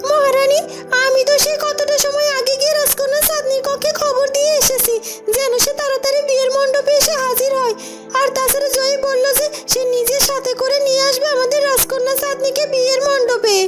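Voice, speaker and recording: A woman voicing a meek, deferential young maidservant in a cartoon, squeaky and apologetic. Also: level tone, fairly quick, faint music behind. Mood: defensive.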